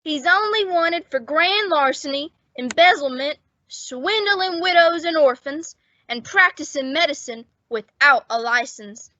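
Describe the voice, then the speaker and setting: A woman's voice: high-pitched, expressive, measured pace, sharp tone, no room sound, muffled recording, exaggerated voice. A woman playing an earnest, literal-minded sidekick in an old-time radio comedy.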